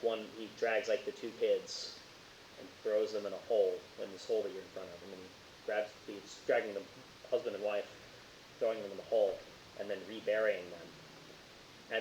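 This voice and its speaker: A man improvising narration as a tabletop horror game master, casual and halting as he searches for words. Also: fairly low pitch. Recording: roomy, dull, hissy home recording.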